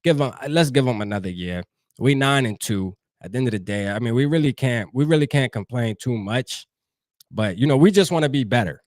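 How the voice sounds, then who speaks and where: A man's voice: mid-range voice, expressive, a little fast, darkish tone, no room sound, studio quality. A man from New Jersey hosting a fan show, talking loosely and profanely straight to his live chat. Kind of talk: casual livestream sports talk.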